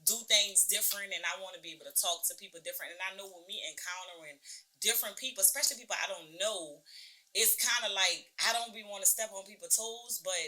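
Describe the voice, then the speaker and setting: Bright-voiced, animated woman host. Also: medium pitch, fast, low-quality recording. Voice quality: strong voice. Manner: animated, opinionated, laughs often. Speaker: podcast co-host. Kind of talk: casual podcast chat.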